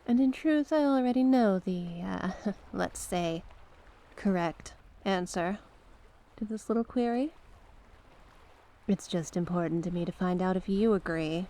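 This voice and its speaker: A woman playing a mysterious banished sea witch in an ASMR roleplay, coaxing and knowing, with a teasing, deliberate manner. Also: mid-range voice, warm tone, natural voice. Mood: sly, teasing. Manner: slow, teasing, deliberate, coaxing with pet names. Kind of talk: ASMR character roleplay monologue.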